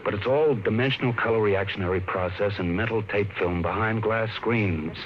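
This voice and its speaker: A man's voice: fairly low pitch, moderate pace, close to an everyday voice. An actor playing a husband and father who reassures his frightened wife, in 1950s radio drama style. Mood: soothing. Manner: soothing, reassuring. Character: anxious suburban husband and father. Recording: dull old radio broadcast with faint hiss.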